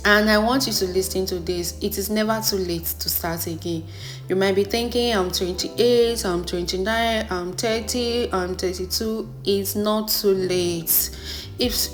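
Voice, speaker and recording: Earnest woman telling her story. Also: medium pitch. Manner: earnest, encouraging, repeats phrases for emphasis. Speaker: wig maker vlogger. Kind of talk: personal story voice-over.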